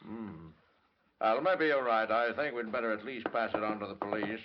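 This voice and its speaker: An actor with an American accent playing a measured hospital doctor in an old radio drama, hesitant and deliberating. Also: male voice, lowish voice, close to an everyday voice. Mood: thoughtful. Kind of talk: 1940s-50s radio acting. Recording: muffled, faintly noisy old radio recording.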